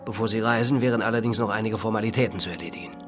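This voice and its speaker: An actor as low-voiced, evasive male official. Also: steady tone, racing, music in the background, lightly acted. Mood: quietly commanding. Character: evasive, formal male official sending agent on mission. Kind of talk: radio drama dialogue.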